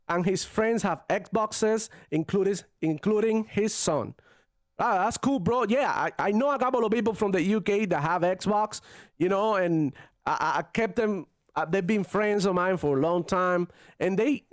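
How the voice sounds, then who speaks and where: A man's voice: high voice, a little slow, no room sound, studio quality. A young man from Cuba hosting a casual gaming livestream, chatting loosely with slang and Spanish phrases while reading viewer comments. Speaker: gaming channel host reading chat.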